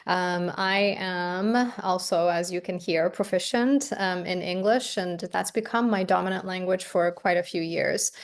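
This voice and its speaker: Woman, 50s, Farsi first language, soft-spoken faculty panelist on a video call. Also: fairly low pitch, unhurried, hardly any pauses. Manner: frequent um fillers, soft-spoken. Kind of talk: casual panel introduction.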